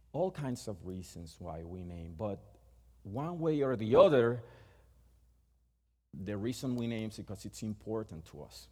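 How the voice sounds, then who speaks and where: A middle-aged Puerto Rican man whose first language is Spanish gives a sermon in a Puerto Rican accent. He talks haltingly, with frequent restarts and fillers, at a middle pitch. His voice rings out with a long reverb, as in a big hall. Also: darkish tone. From Puerto Rico. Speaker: preacher.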